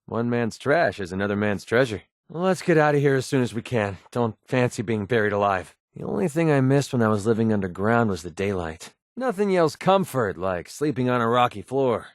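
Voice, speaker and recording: Guy playing a wisecracking young merc in a video game, sardonic and grumbly, medium pitch, super dry recording. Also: big pitch swings, brisk, few pauses, no room sound, natural voice. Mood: wry, wary. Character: cynical, wisecracking young mercenary sniper in a wasteland. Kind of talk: video game companion dialogue.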